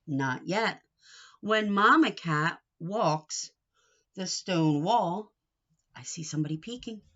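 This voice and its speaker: A woman reading a picture book aloud to young children in an expressive, sing-song way, coaxing them with questions. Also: mid-range voice, highs cut off.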